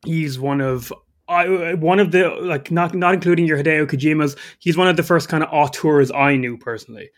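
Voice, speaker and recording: A man from Ireland co-hosting a casual podcast, a bit sniffly with a cold, self-mocking and quick to laugh. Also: on the high side. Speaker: podcast co-host.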